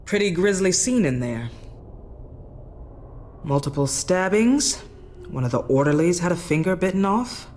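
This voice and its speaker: High-pitched, officious asylum doctor. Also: male voice, animated, measured pace, pauses often, darkish tone, slight background noise. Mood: grim. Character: stern, suspicious asylum doctor, officious and authoritative. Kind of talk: video game dramatic dialogue.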